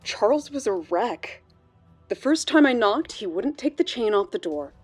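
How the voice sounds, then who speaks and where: A woman's voice: on the high side, very animated, a little slow, slightly rough, exaggerated voice. A woman playing a worried, hesitant colleague giving interview testimony in a true-crime audio drama. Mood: uneasy. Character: worried female bank colleague recalling a troubling friend. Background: background music.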